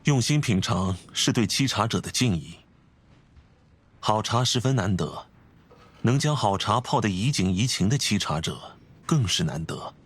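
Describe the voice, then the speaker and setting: Man as a low-voiced, composed scholarly gentleman. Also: lively intonation, slight background noise, lightly acted. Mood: appreciative. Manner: formal, measured, courteous, instructive. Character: refined, composed, scholarly gentleman and tea connoisseur. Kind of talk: video game character acting.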